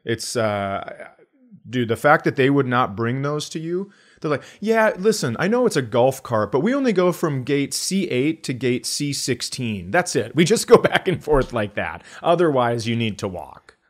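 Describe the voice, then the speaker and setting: A man's voice: mid-range voice, very animated, moderate pace. Sardonic man bantering on a comedy podcast. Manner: sardonic, profane, acts out blunt remarks.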